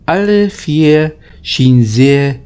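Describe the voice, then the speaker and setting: Slow, mellow man reading a story aloud. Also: a little high, animated, clean tone. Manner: halting, stumbling over words while reading. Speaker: amateur storyteller reading a children's novel aloud. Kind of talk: informal read-aloud storytelling with chatty asides.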